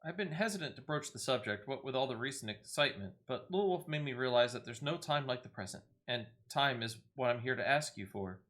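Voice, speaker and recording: A man's voice: medium pitch, animated, fairly quick, few pauses, fairly bright tone, natural voice. Man voicing an earnest, humble little receptionist woman. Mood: hesitant. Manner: formal, deferential fantasy phrasing. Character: earnest, humble small female receptionist, polite and eager.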